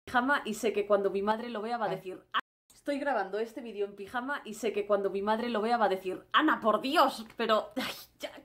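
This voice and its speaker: A young woman with a Spanish accent from Spain, chatting casually to camera as a travel vlogger, animated and expressive. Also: medium pitch, a little fast. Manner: animated, expressive, gestures a lot. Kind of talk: casual vlog monologue. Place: small room indoors.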